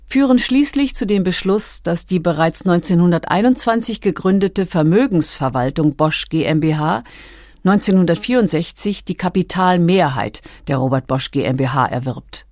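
A woman's voice: lowish voice, animated, moderate pace, darkish tone, no room sound, studio quality. A woman reading scripted documentary narration. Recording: music behind the voice. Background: background music.

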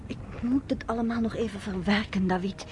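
A woman's voice: mid-range voice, steady tone, brisk, a little mellow, a little hiss or hum, close to an everyday voice. An actress playing a polite, thoughtful and hesitant woman in a radio drama. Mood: reserved, thoughtful. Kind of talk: Dutch radio drama acting.

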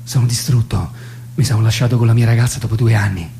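A man's voice: mid-range voice, steady tone, fast talker, creaky, dark tone, slight background noise, lightly acted. An actor playing a polite but exasperated, sleep-deprived young man who hesitates and protests in a comic radio play. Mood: wounded, bewildered. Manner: hesitant, repeating himself, protesting.

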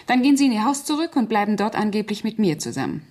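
A woman's voice: medium pitch, very animated, fast talker, a little mellow. An actress playing a cold, calculating woman in a German radio crime drama, speaking with precise, controlled command. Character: cold, calculating murderess posing as helpful household friend.

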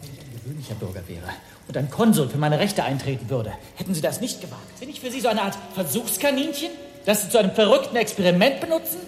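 An actor playing an indignant, agitated hotel guest who protests formally in a radio play. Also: male voice, high-pitched, very animated, fast talker, reverberant, soft background music. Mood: bitterly protesting. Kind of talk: German radio play acting.

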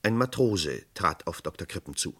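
A man's voice: low-pitched, expressive, moderate pace, a bit bright, husky. A man narrating a scripted 1960s true-crime radio play.

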